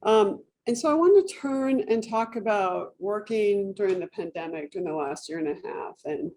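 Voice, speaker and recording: A woman's voice: mid-range voice, expressive, normal speed, darkish tone. A woman moderating a panel, thanking speakers and handing over with brief polite remarks.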